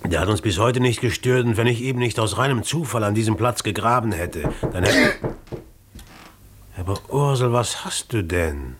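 An actor playing a debt-ridden, secretive and irritable village innkeeper in a 1950s German radio drama, agitated and repeating himself. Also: male voice, lowish voice, lively intonation, moderate pace, lightly acted. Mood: troubled, defensive. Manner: agitated, repeating words, scolding and hushing. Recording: noisy 1950s mono radio play recording.

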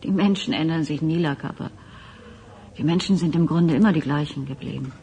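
A woman's voice: sits low, fairly quick, mellow. A woman playing a sceptical, probing questioner in a German radio drama, speaking thoughtfully and directly. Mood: incredulous, sceptical.

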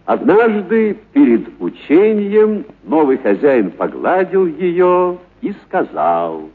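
A man's voice: a little high, big pitch swings, slow, husky, narrow, tinny audio. A man narrating a literary story for radio expressively and theatrically, lingering on certain words.

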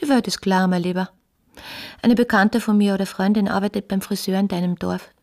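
A woman's voice: medium pitch, steady tone, brisk, darkish tone, natural voice. An actress in a radio play voicing an earnest, probing woman in love with a married man, speaking intimately and insistently. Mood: probing, needling. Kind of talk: German-language radio play acting.

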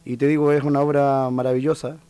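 A man's voice: mid-range voice, flat delivery, fast talker, warm tone, clear, resonant tone, no echo. Hesitant Chilean man in his thirties. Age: 30s. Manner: hesitant, many restarts and fillers. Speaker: guest folk singer. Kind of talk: casual interview.

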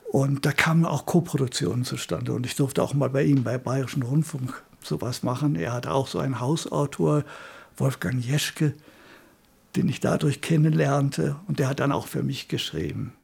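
An older man, a radio drama director, reminiscing conversationally in an interview with a raspy, creaky voice. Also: mid-range voice, a little mellow.

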